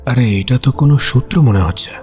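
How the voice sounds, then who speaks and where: An actor playing a detective's observant companion, narrating a mystery as a scripted audio story with expressive, suspenseful delivery. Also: male voice, mid-range voice, a little fast, soft background music, close to an everyday voice.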